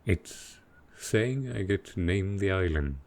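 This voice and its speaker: A man playing a gentle, earnest dragon boyfriend in an intimate roleplay audio, musing softly and naively. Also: deep voice, animated, slow, exaggerated voice. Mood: musing, content.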